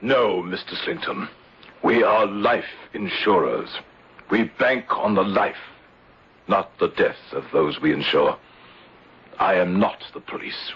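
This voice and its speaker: An actor playing a grave, courteous insurance man telling his story in a measured, formal way in a radio drama. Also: deep voice, lively intonation, breathy edge, heavily acted. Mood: calm, firm. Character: grave, kindly gentleman life insurer narrating his case. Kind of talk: old-time radio drama, storytelling narration. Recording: dull vintage radio recording.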